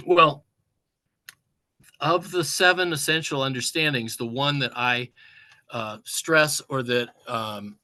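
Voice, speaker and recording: A man, a music teacher, presenting a webinar in a halting, unscripted way, pausing for words and restarting phrases over a video call. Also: medium pitch, pushed and bright. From United States. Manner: halting, many fillers and restarts, searching for words.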